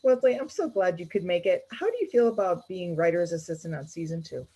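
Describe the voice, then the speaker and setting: A woman's voice: fairly low pitch, animated, a little fast, mellow, husky. An actress playing a breezy, imperious female television boss in a comedy table read. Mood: breezy, dismissive.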